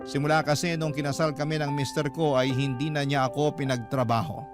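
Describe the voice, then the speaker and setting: A man's voice: medium pitch, little pitch movement, brisk. A man with a Filipino accent reading a letter dramatically as a radio storyteller. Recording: music behind the voice. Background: music.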